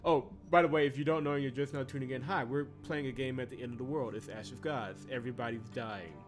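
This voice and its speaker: An easygoing man chats to his viewers through a desk mic while he plays. His pitch sits in the middle for a man, and he breaks into laughs often. A soft game soundtrack runs quietly underneath. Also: fast talker. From Georgia, US. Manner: chatty and animated, laughs often, reads game text aloud. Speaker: streamer. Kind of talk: casual game stream chat. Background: game soundtrack music.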